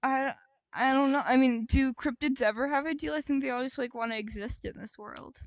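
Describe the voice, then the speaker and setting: A woman co-hosting a podcast in casual chat, describing things haltingly and saying "like" a lot. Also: sits high, dry recording. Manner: halting, improvised descriptions with frequent "like". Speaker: podcast co-host.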